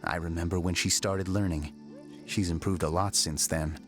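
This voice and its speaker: A man playing a taciturn, reserved suspect in a video game, speaking in an unhurried way. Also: sits low, steady tone, natural voice. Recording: game music behind the voice.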